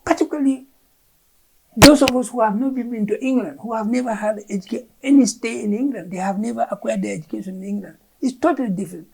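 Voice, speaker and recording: A woman's voice: a little high, fast, vocal fry, slightly rough, rough recording. A Gambian woman whose first language is Fula, a curriculum official explaining education policy in an interview in an emphatic manner, repeating words as she searches.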